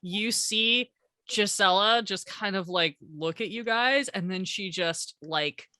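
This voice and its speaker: A woman's voice: mid-range voice, slow, pushed and bright, dry recording, clean studio sound. A woman narrating as game master of a tabletop game, chatty and hesitant with many fillers.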